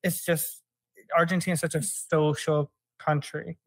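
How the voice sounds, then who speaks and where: A young American man telling stories in a relaxed way with lots of "uh"s as a podcast guest. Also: on the high side, moderate pace, creaky, husky, no echo. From United States. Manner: relaxed storytelling with many fillers. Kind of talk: casual conversation.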